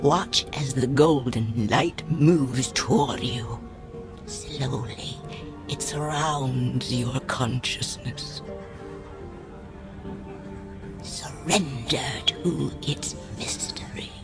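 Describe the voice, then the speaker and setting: An actress playing an old fortune teller in a radio drama, solemn, mystical and grandiose, sometimes hushed. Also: female voice, a bass voice, slow, music in the background, exaggerated voice. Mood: hushed, entrancing. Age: older. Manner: grandiose, incantatory, hushed at times.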